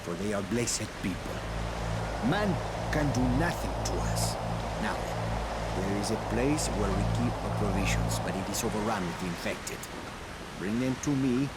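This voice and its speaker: Man playing a fervent, theatrical missionary, deep swooping voice. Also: lively intonation, moderate pace, close to an everyday voice. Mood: reverent, pleading. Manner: theatrical, rambling, devout, formal wording. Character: fervent missionary man, preachy, grandiose, slightly unhinged. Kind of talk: video game cutscene acting. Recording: loud music over the voice.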